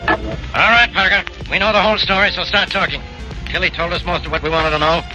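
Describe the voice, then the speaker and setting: A man's voice: sits high, steady tone, fast, dull audio, over music, close to an everyday voice. A man playing a hard-nosed, sardonic police investigator in a 1940s radio drama, accusing and taunting, on an old recording.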